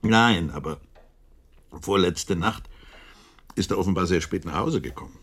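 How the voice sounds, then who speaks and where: An actor playing a sceptical, slightly exasperated Victorian doctor in a German radio play, husky and deliberate in his dry delivery. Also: low voice, animated, fairly bright tone, heavily acted. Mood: concerned, sceptical. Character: Holmes's loyal doctor friend, Victorian, sceptical, a little exasperated.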